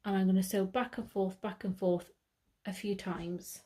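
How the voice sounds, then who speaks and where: A woman's voice: medium pitch, steady tone, normal speed, warm tone. A British woman giving a step-by-step sewing tutorial, chatting casually and correcting herself as she works. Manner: chatty, self-correcting, thinking aloud.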